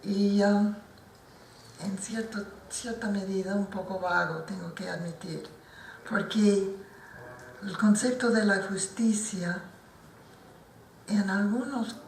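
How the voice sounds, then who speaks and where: A non-native older woman giving formal comments at a book presentation, speaking slowly and carefully and often restarting her words. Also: medium pitch, darkish tone, slight background noise. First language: not Spanish. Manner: slow and careful, with frequent restarts. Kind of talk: formal talk at a book launch. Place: lecture hall at an institute. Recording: roomy hall picked up from a distance.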